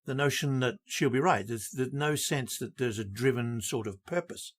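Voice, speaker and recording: An older man from Britain, hosting an interview in a measured, formal way and repeating words as he thinks. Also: mid-range voice. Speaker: host. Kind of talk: radio interview.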